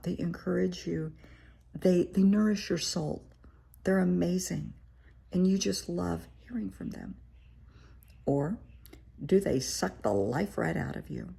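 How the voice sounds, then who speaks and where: Croaky therapist lady with a cold, low gravelly voice, talks straight at you. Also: mellow. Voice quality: raspy. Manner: frank, encouraging, speaks straight to the viewer. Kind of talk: casual direct-to-camera advice talk. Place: her living room.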